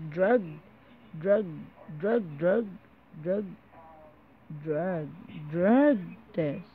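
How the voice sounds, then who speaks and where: A person presenting a casual home unboxing video in a halting, disjointed way, often repeating words. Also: mid-range voice, expressive, phone-quality sound, a little hiss or hum. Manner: halting, disjointed, repetitive delivery. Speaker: amateur video maker showing gadgets.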